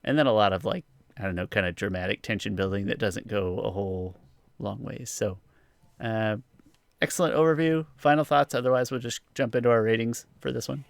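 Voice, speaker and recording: A man's voice: on the low side, brisk, creaky, dry recording. A man co-hosting a podcast, talking through an episode review in a casual, rambling way. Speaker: podcast co-host.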